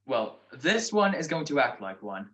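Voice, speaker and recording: Man acting animated, wisecracking grumpy detective. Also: mid-range voice, normal speed. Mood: mock stern. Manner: sardonic, dry wit. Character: wisecracking, grumpy married gentleman sleuth. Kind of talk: 1940s radio comedy-mystery acting.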